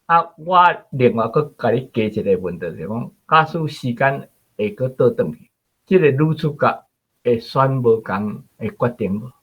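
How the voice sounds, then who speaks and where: A man's voice: medium pitch, animated, normal speed, husky, lo-fi sound. A man with a Taiwanese accent in casual, conversational discussion, often ending phrases with little tag particles. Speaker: radio show guest or host discussing the story.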